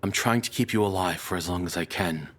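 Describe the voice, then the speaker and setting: A man playing a deranged, lovesick cannibal captor in an intimate audio roleplay, speaking in a soft, hesitant, confessional way with quiet menace. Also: sits low, fairly quick. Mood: obsessive, menacing.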